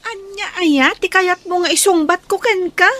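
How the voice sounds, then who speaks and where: A woman's voice: very high-pitched, moderate pace, bright, forceful tone. A young woman with an Ilocano Filipino accent acting a sassy, defiant student and daughter in a radio comedy-drama. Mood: haughty.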